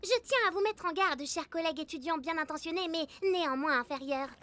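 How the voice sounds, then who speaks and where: Snooty cartoon girl genius, wordy and formal, high-pitched. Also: a little fast, sharp tone. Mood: condescending. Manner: formal, verbose, condescending. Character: haughty genius schoolgirl bacterium. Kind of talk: animated cartoon dub.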